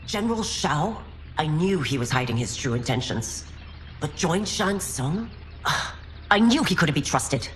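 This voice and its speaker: An actress playing a proud former royal guard turned constable chief in a game cutscene, speaking formally and earnestly with firm resolve. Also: female voice, sits low, big pitch swings, unhurried, slight background noise. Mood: guarded, skeptical. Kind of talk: dramatic video game cutscene acting. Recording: orchestral game music behind.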